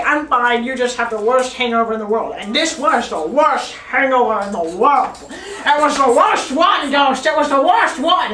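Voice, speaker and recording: A woman's voice: sits high, sharp tone. A teenage girl telling a rambling, absurd improvised story in a classroom, often giggling, recorded by a camera set up across the room. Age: teens. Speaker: student storyteller. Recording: echoey classroom, distant camera.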